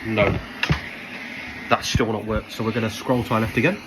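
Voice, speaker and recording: A man giving a hands-on spoken product review in a hesitant, repetitive, measured but opinionated way. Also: lowish voice, fairly even intonation. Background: background noise and a radio.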